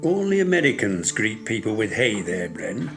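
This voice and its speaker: A man's voice: on the low side, sing-song, normal speed, a bit bright, faint music behind. A man playing a grumpy, pedantic old café regular in an audio drama, with a curt, stern, formal way of talking. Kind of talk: amateur audio drama acting.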